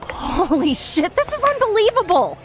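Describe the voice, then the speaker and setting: A woman's voice: very high-pitched, sing-song, moderate pace, faint music behind. An actress playing a scornful, foul-mouthed young metalhead woman in an audio drama, biting and sarcastic. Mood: incredulous scorn.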